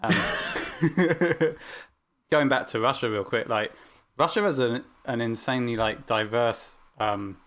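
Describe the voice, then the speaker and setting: A man's voice: mid-range voice, slow pace, a bit bright. A man in casual podcast conversation, talking in a rambling way with many fillers and restarts, and blunt about what he dislikes. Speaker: podcast co-host discussing anime. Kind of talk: casual chat.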